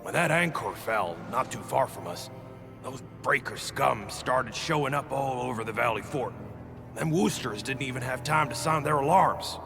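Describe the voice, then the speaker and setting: An actor playing a weary, anxious male refugee settler in a video game, speaking earnestly and haltingly in rough, folksy phrases. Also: mid-range voice, animated. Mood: weary, bitter. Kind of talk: dramatic video game voice acting. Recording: long hall reverb, music behind. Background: game music.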